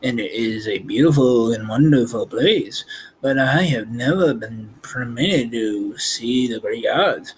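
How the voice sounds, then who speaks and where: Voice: mid-range voice, animated, unhurried, slight background noise, natural voice, poor audio. A man voicing a thoughtful farmer who speaks with awe in a storybook reading. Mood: doubtful, awed.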